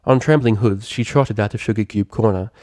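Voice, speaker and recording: A man reading a story aloud as narrator, in an expressive, theatrical storytelling manner. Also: on the low side, a little fast, no echo, clean studio sound. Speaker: fan fiction reader, narrator.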